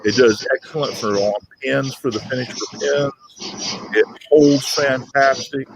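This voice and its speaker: A man's voice: medium pitch, measured pace, creaky, noisy background. A man hosting a casual live group chat about his craft, talking in a folksy, rambling way and often repeating himself. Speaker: host of a woodworking talk show.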